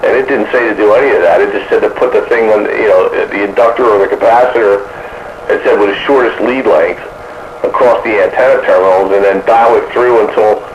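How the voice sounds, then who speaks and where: A man's voice: on the low side, fairly quick. A man chatting casually about technical matters as a radio operator, rambling along in a folksy way over a two-way radio link. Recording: dull noisy radio transmission, boxy echo.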